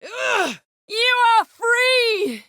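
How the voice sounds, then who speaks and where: A woman voicing a proud, sharp-tongued sorceress in a video game, speaking crisp, formal with an imperious, sardonic manner. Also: extremely high pitch, sing-song, extremely slow, no room sound, clean studio sound, exaggerated voice. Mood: forceful, urgent. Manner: crisp, formal, haughty and biting. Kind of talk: video game character dialogue.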